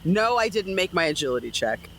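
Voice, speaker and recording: A woman's voice: fairly low pitch, big pitch swings, brisk, fairly bright tone. Woman casually playing a tabletop game. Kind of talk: casual chat. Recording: faint background noise.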